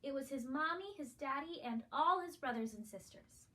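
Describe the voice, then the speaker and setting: A woman's voice: high-pitched, animated, moderate pace, fairly bright tone. A female guest reader reading a children's picture book aloud.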